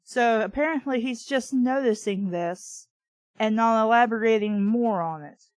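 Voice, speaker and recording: High-voiced woman reading aloud with sarcastic asides. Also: a little slow, no room sound. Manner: sarcastic, exasperated asides with swearing. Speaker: book reader and commentator. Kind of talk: audiobook-style reading with casual asides.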